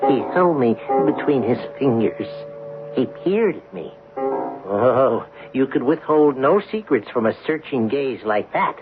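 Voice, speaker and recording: An actor voicing a wry, observant coin that narrates its own story in a radio drama, in a knowing, descriptive way. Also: male voice, medium pitch, expressive, unhurried, faint music behind. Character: talking Mercury dime, wry, observant storyteller. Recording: tinny old radio broadcast.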